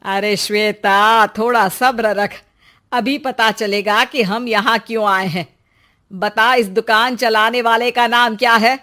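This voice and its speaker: A woman's voice: fairly high pitch, steady tone, takes it slowly. Woman doing a shrewd, knowing old mother. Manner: firm and loud, with a patient tone. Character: shrewd older mother-in-law, calm and knowing.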